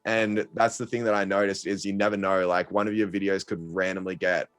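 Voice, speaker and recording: Deep-voiced young man, halting chat. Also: flat delivery, fairly bright tone, smooth. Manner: hesitant, many ums and restarts, laughs easily. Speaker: guest content creator. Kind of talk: casual podcast chat.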